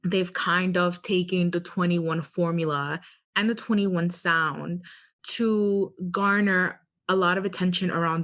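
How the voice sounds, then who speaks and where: Female podcast co-host, slightly low voice, casual opinionated chat. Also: fairly bright tone.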